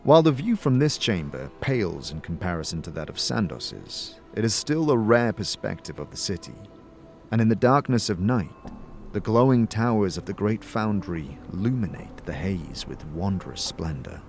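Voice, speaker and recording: Low-pitched, animated male narrator over music. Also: creaky. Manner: deliberate, theatrical. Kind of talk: dramatic scripted second-person fantasy narration.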